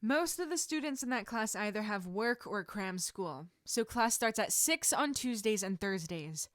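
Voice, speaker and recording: A woman voicing an encouraging adult female martial arts teacher in measured, instructive story dialogue. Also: mid-range voice, lively intonation, dry recording, studio quality, close to an everyday voice. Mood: calm, reassuring. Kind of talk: audiobook-style character dialogue.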